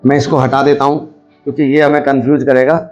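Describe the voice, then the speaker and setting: A man's voice: medium pitch, little pitch movement, fairly quick, a little mellow, muffled recording, faint music behind. A man giving a hands-on demonstration, explaining step by step and repeating his points as he instructs. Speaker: video host, scrap recycler.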